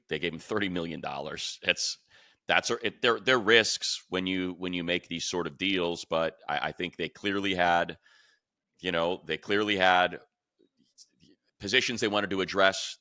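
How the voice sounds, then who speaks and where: A man's voice: low-pitched, bright, forceful tone, no echo, studio quality. An American man hosting a casual sports talk, emphatic and thinking aloud as he goes. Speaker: podcast host, sports play-by-play announcer.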